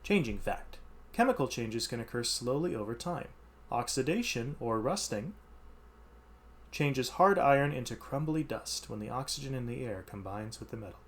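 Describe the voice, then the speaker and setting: A man's voice: mid-range voice, animated, normal speed, fairly bright tone. A man reading educational text aloud as a teacher, stressing key words and adding informal explanatory asides.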